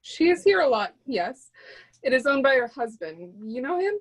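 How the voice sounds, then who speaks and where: An actress playing a polite, knowing restaurant hostess with a husky edge, in a dramatic screenplay reading. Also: female voice, high-pitched, big pitch swings, moderate pace. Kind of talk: dramatic table read.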